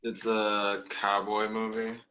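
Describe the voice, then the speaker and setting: A man playing a polite, skeptical young roommate with pointed, measured sarcasm in a comedy table read. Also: lowish voice, completely flat delivery, sharp tone. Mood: pleased. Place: at home, remote table read.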